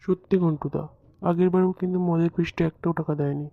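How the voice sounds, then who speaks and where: A man's voice: on the high side, steady tone, fast, no room sound. Quarrelsome young club pal, voiced by a man, cartoon comedy, crude complainer, muffled dry audio. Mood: grumbling. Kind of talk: comic Bengali cartoon acting.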